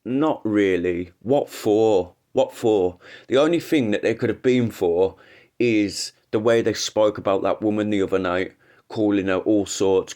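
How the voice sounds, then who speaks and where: A man with a stuffy, congested voice from a cold, pitched a little low, talking casually on a livestream. He rambles, stops to restart his sentences, and gives his opinions without holding back. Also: a little mellow. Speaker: livestream host.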